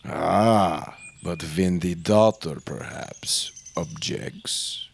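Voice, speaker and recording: Secretive older male professor, played by a man for radio drama: formal, haughty, intense, deep-pitched and deliberate. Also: gravelly, a little hiss or hum, full character voice. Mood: disdainful. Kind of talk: old-time radio drama acting.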